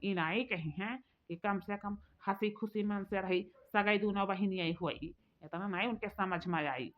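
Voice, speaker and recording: A woman's voice: medium pitch, moderate pace, close to an everyday voice. A woman voicing a chatty old auntie on the phone, with an Awadhi accent, doting and rambling. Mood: grumbling. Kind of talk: cartoon voice acting. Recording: dull lo-fi recording.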